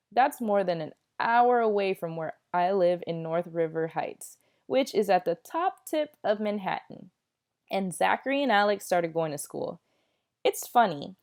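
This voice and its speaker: A woman's voice: mid-range voice, a little mellow, lightly acted. A woman reading a children's novel aloud as a candid, self-aware ten-year-old boy, in a conversational and emphatic way. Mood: earnest, reflective. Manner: conversational, emphatic storybook narration.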